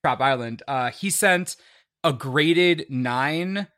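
A man telling stories in casual podcast chat, excitable and repeating words for emphasis. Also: mid-range voice, slow pace. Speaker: guest, charity streamer.

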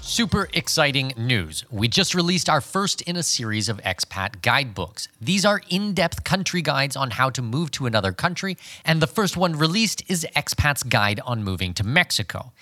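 Bright, dry-sounding male podcast host. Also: medium pitch, big pitch swings, moderate pace, few pauses, no room sound, faint music behind. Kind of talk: interview questions and scripted promotion.

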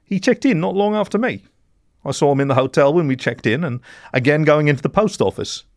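A man's voice: fairly high pitch, expressive, brisk, a little mellow, no room sound, clean studio sound, voice pushed up. A man voicing a nervous, tearful mole drug runner in a story, stammering and pleading. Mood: anxious, confiding. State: sobbing.

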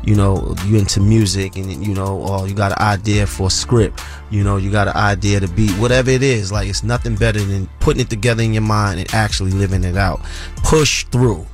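A laid-back man co-hosts a radio show. His voice sits on the low side, and his easy joking slides into pep talk. Faint music plays under him in the studio. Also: steady tone, brisk. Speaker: radio co-host. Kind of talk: casual radio banter.

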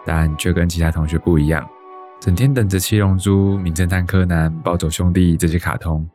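A man's voice: sits low, steady tone, fairly quick, darkish tone, faint music behind, close to an everyday voice. An actor playing a wistful man in his early thirties with a Taiwanese accent, narrating reflectively in a radio drama. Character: wistful thirty-two-year-old man dreaming of life abroad.

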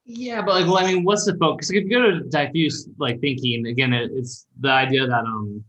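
Man, thirties, bright forceful voice, casual mentor, rambling advice, roomy echo. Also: medium pitch, brisk. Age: 30s. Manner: rambling, thinks aloud. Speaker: call-in show host and copywriting mentor.

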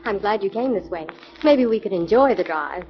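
A woman's voice: medium pitch, expressive, normal speed, natural voice. An actress playing an earnest young female assistant in a 1940s radio drama, anxious and breathless. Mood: pleasant. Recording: noisy dull 1940s radio recording.

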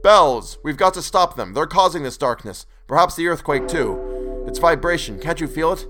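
Man playing an urgent, fearful man shouting, pitched up. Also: animated, moderate pace, soft background music. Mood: urgent, insistent. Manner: forceful, insistent exclamations.

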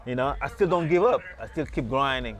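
A man's voice: mid-range voice, lively intonation, brisk. A man from Senegal, a market booth owner telling his story in a casual street interview, rambling and repeating words. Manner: rambling, repeats words, lots of "you know". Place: outdoors at a beach market booth.

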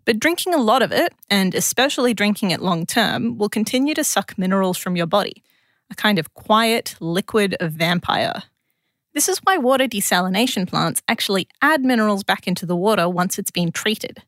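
A woman's voice: mid-range voice, lively intonation, normal speed, a bit bright, no room sound, studio quality. A woman with an Australian accent presents a scripted science explainer in a conversational, lightly wry way.

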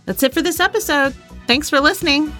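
A woman's voice: high voice, fairly quick, sharp tone, soft background music. A woman in her forties co-hosting a podcast, chatting and reading aloud in a giggly, informal way. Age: 40s. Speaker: podcast co-host. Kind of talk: casual chat and diary reading.

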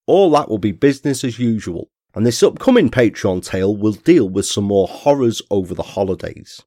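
A man hosting a true crime podcast, reading an ad and chatting to listeners, his voice a little croaky from a cold. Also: medium pitch, warm tone, dry recording, clean studio sound. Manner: chatty, friendly asides to listeners. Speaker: podcast host. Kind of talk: scripted podcast narration and ad read.

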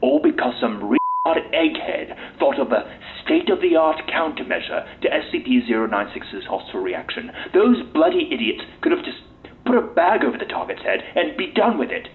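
A man's voice: on the high side, big pitch swings, normal speed, vocal fry. A man playing a bitter, cynical, foul-mouthed military officer being interviewed in an audio drama. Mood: furious, sarcastic. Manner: cynical, exasperated, sweary. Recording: tinny lo-fi interview tape with hiss.

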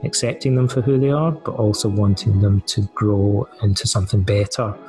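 A man's voice: fairly low pitch, normal speed, warm tone, faint music behind. A middle-aged Scottish man speaking as a podcast guest, thoughtful and hesitant with fillers and restarts. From Scotland. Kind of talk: conversational interview answers.

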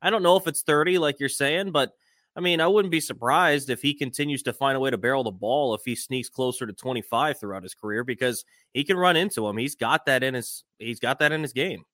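A man with a medium-pitched voice co-hosts a sports podcast. He talks through his analysis in an easy conversational style. The recording is dry, with no room sound. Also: a little fast, a bit bright, dry recording.

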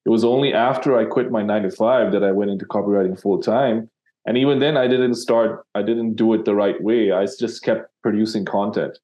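A man's voice: fairly low pitch, a little fast, warm tone. A man from Sri Lanka, a copywriter guest in an interview, talking casually and rambling with many fillers and restarts.

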